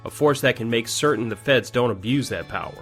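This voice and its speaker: Guy acting a no-nonsense army major, direct and principled, radio drama, music low underneath. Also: brisk, a bit bright. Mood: earnest, resolute. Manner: direct, earnest, businesslike. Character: firm, principled army major leading his men.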